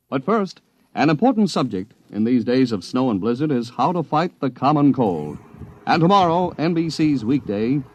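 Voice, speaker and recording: Male vintage radio announcer for promos, scripted, emphatic, very lively intonation at medium pitch.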